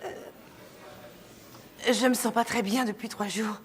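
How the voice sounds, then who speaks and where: A woman's voice: on the high side, steady tone, slow, big-room sound. An actress in a dubbed film playing a woman who protests with emotion.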